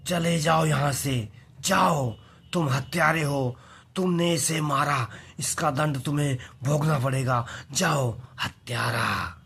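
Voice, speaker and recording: A man playing an excitable, devoted wildlife scientist in a dramatized adventure story, exclamatory and melodramatic. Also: a little high, slow pace, slightly rough, heavily acted. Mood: bitter, accusing.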